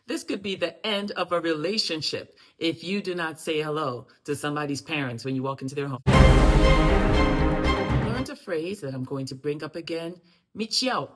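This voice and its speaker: A woman leads a friendly greetings lesson to camera. She repeats each phrase slowly so learners can echo it. Now and then she breaks into lively, loud bursts of emphasis. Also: sits low, soft background music. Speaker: language and culture teacher.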